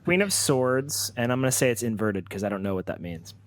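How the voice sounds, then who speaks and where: A man playing a tabletop roleplaying game, thinking aloud haltingly as he improvises his character's story. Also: medium pitch, very animated.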